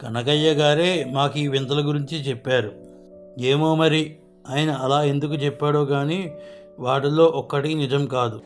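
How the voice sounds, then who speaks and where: A man's voice: a little high, fairly even intonation, measured pace, faint music behind, lightly acted. A man playing village folk in the scripted dialogue of a storybook moral tale. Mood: puzzled. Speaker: voice of the story's characters.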